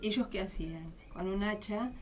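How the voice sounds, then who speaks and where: A woman's voice: medium pitch, moderate pace, a little hiss or hum. Casting: Argentine woman, Rioplatense accent, radio interview guest, enthusiastic storyteller explaining in a conversational way, mid-range voice. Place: radio studio.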